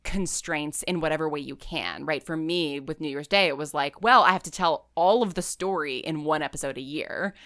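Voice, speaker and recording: A woman chatting casually as an interview guest, animated and conversational, often checking in with "right?". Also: sits low, moderate pace, hardly any pauses, no room sound, studio quality. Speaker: interview guest, fiction podcast writer.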